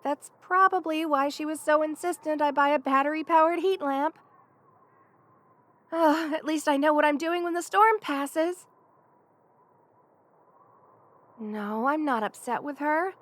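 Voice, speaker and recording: A woman's voice: high voice, normal speed. A woman voicing a playful, affectionate young lamia best friend in a casual, teasing ASMR roleplay. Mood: sincere, chatty. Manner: casual, teasing, conversational with soft chuckles. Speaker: voice actor in audio roleplay. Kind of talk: ASMR roleplay, one-sided friendly chat.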